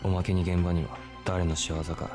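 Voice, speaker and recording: An actor playing a tough, blunt young male street fighter in a Japanese game drama, gruff and rough-spoken. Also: low-pitched, little pitch movement, normal speed, over music, lightly acted. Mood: pensive. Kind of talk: Japanese video game cutscene acting.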